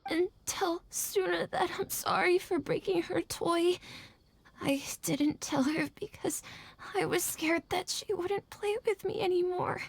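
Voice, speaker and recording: A woman's voice: very high voice, unhurried, creaky, exaggerated voice. An actress voicing a sick, frightened little village boy who is dizzy with fever and sounds weak and tearful. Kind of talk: video game voice acting.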